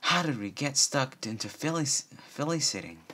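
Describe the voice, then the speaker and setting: Man doing an exasperated mare, pitched well up. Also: sing-song, moderate pace, pushed and bright, husky, exaggerated voice. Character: exasperated, bossy young adult female pony.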